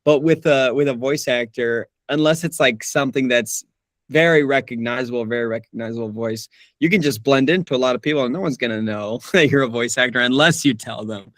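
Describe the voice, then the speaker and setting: A man's voice: mid-range voice, brisk, dry recording, studio quality. A young man, a voice actor, chatting casually in an interview, laughing often and restarting his sentences. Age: young adult. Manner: relaxed, laughs often, many restarts and fillers. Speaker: voice actor guest.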